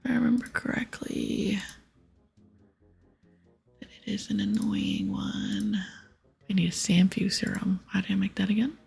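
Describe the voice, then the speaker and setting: A woman narrates a video game in casual ASMR style. Her soft whisper is very breathy and husky, with plenty of vocal fry. Gentle music plays behind her. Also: mid-range voice, sing-song, unhurried, long pauses. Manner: soft-spoken, casual, reads game text aloud. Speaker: ASMR gaming streamer.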